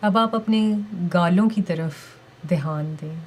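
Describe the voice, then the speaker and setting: A woman leading a guided meditation with calm, gentle, deliberate instructions and pauses between phrases. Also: lowish voice.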